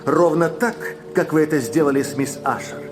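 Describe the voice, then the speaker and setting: An actor playing a sharp, cool-headed male detective who speaks curtly and accuses without hesitation, in a radio play. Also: on the high side, moderate pace, over music, lightly acted. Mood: accusing. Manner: curt and accusing.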